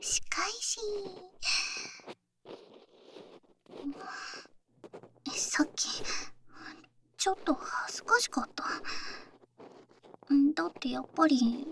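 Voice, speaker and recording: A woman's voice: sits high, sing-song, measured pace, gravelly, long pauses, a bit bright, full character voice. A woman playing a young, shy and affectionate athletic girlfriend in an intimate ASMR voice drama, murmuring hesitantly and slipping into whispers, close to the microphone. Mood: shy, playful. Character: bashful, affectionate young athletic girlfriend pampering her coach boyfriend. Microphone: close microphone, ASMR style.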